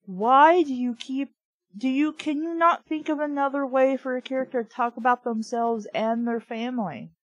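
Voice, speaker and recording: A woman's voice: sits high, fairly even intonation, moderate pace, dry recording, muffled recording. A woman reading a book aloud casually, breaking off into snarky, rambling, conversational asides. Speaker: host reading a children's book aloud with commentary.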